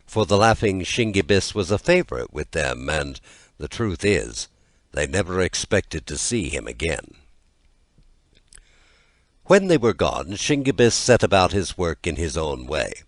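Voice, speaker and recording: A man with a low voice narrates a fairy tale, his intonation rising and falling freely. The delivery is measured and expressive, and the sound is dry and close, with very little room. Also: dry recording. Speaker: audiobook narrator.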